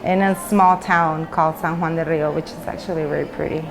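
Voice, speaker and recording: A woman's voice: deep voice, steady tone, fairly quick, gravelly, a crowd in the background, background noise. A woman in her thirties, born in Mexico and raised in the United States, talking openly in an interview with lots of fillers and restarts. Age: 30s. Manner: loose and talkative, many fillers and restarts. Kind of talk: candid personal interview. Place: women's prison in Mexico.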